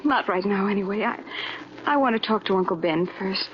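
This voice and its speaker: A woman's voice: mid-range voice, brisk, dull audio, lightly acted. An actress playing a frightened, evasive young woman with hesitant, pleading speech, in 1950s radio drama style. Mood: anxious. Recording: band-limited old radio recording, faint noise.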